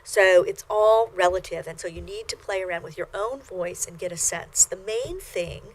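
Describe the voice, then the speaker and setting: An older woman with a fairly high voice, giving a recorded language lecture in a small studio. She explains patiently and measuredly, with frequent ums and self-corrections. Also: normal speed, poor audio. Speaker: Chinese language instructor. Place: small studio with plain backdrop.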